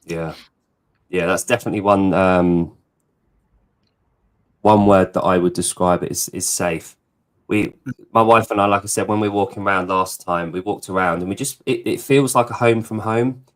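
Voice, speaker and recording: Host: man, low voice, measured interviewer. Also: fairly even intonation. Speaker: podcast host.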